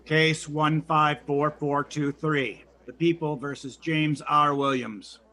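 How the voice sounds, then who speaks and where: A man's voice: fairly high pitch, steady tone, slow, bright, forceful tone. A man playing a formal courtroom bailiff in an audio drama, proclaiming ceremonially. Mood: official, announcing. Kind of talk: scripted audio drama acting.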